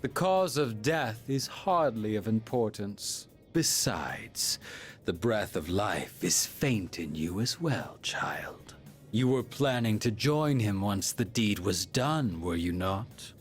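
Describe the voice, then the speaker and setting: Slow, theatrical villain, mock-courteous. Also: male voice, on the low side, lively intonation. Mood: coldly mocking. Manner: formal, archaic, mock-polite, taunting. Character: theatrical, coldly courteous villain preaching death as salvation. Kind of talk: English video game voice acting. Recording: music under the voice.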